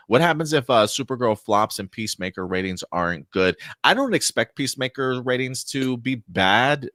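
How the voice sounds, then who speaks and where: A man's voice: lowish voice, fairly bright tone, dry recording, clean studio sound. A man hosting a casual live entertainment talk show, discussing as a fan in an emphatic, opinionated way.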